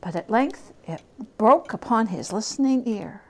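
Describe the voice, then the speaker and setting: A woman giving a dramatic reading of a classic story, theatrical, lingering on words and dropping into hushed tones. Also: a little high, animated, unhurried, poor audio. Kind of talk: dramatic reading of literary narration.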